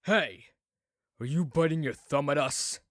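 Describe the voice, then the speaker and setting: A man voices a confrontational young servant in a radio play. He speaks on a higher note, slowly and pointedly, in a dry recording. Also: no echo, natural voice. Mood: challenging. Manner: challenging, confrontational. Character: provoking young male servant.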